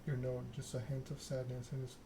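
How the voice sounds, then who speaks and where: A man softly reading a story game aloud in a hushed, measured ASMR narration. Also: medium pitch, monotone. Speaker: video game let's-player reading the game aloud. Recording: clear background noise.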